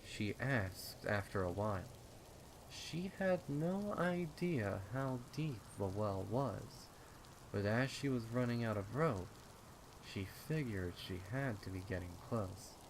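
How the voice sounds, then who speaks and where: Slow, low-voiced male horror story narrator. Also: expressive, a little hiss or hum, poor audio. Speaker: fan fiction narrator. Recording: rain and storm sounds behind. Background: rain sound effects.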